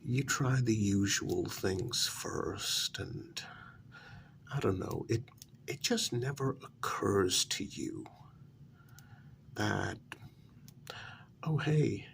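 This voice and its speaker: A man playing a condescending, unhinged asylum patient in a soft-spoken ASMR role-play, halting and matter-of-fact, often slipping toward a half-whisper. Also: lowish voice, vocal fry, a little hiss or hum, heavily acted. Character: condescending, unhinged male asylum patient who believes he created everyone.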